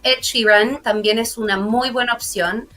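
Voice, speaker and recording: Teacherly Chilean woman, slightly high voice. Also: steady tone, unhurried, a bit bright. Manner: earnest, teacherly, explains step by step. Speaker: English teacher guest. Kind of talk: explanatory interview talk. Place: broadcast studio.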